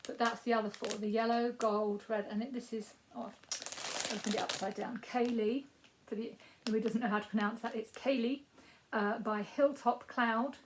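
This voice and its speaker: A woman in her fifties with a Yorkshire accent and a croaky voice, giving a rambling, casual crafting update with frequent ums. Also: on the high side, steady tone, normal speed, hardly any pauses, slight background noise, low-quality recording. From Yorkshire, England. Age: 50s. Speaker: crafting podcast host.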